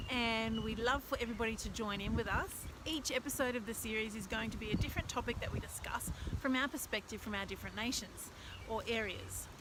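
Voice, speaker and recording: A woman's voice: fairly high pitch, noisy background. A woman in her forties with an Australian accent, an English teacher chatting casually and upbeat to camera for her video channel. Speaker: teacher vlogger.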